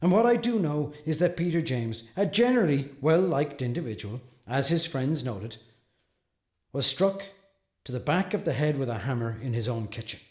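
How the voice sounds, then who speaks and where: An actor playing an earnest, troubled defense attorney in a dramatic monologue, speaking deliberately with brooding pauses. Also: male voice, medium pitch, expressive, a little mellow, natural voice. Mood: somber. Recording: echoey, roomy sound.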